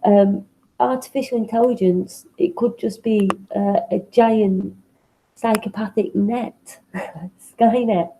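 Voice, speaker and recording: A woman's voice: medium pitch, steady tone, warm tone, a little hiss or hum, poor audio. Congested woman with a cold. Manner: thoughtful, halting with fillers. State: has a cold, sniffly and congested. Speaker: co-host. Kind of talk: casual conversational talk show.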